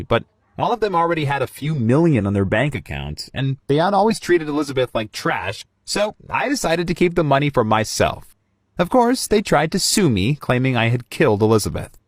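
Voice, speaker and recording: A man reads a scripted story in the first person. His pitch moves around a lot with emphatic stresses, and the sound is close and dry. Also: no echo.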